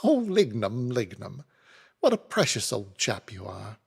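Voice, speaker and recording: A man's voice: medium pitch, huge pitch swings, measured pace, vocal fry, slightly rough, heavily acted. A man voicing a cheerful, motherly soldier's wife in a raised, airy voice with a wandering put-on accent. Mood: fond. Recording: reverberant room.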